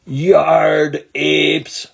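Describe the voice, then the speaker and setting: A man's voice: a little high, completely flat delivery, very slow, creaky, husky, pitched above the performer's own voice, heavily acted. A man voicing a fierce, indignant eight-year-old schoolgirl in a storybook, exclamatory and emphatic. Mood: outraged.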